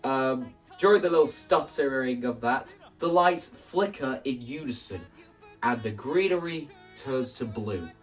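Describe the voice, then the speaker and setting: A man's voice: mid-range voice, lively intonation, a little slow, far from the mic, faint music behind. A man giving a rambling, explanatory vlog-style talk, acting out scenes as he describes a stage production. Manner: rambling, acts out scenes and quotes lines as they go. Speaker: content creator. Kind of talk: animated explanatory vlog about staging a musical.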